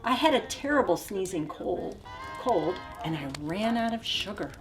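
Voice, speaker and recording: A woman's voice: medium pitch, lively intonation, normal speed, music in the background, lightly acted, rough recording. A woman reading a storybook as a wily male wolf who chattily and theatrically defends himself. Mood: storytelling, innocent. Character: wily, self-justifying male wolf telling his side. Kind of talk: storybook read-aloud in first person.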